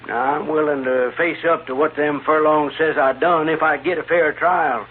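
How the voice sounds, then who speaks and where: A man's voice: a little high, steady tone, moderate pace, natural voice. An actor playing an ornery, uneducated frontier gunman in a 1950s radio western, with a folksy, blustering Western drawl. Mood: indignant. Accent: American West drawl. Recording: tinny, faintly noisy old radio.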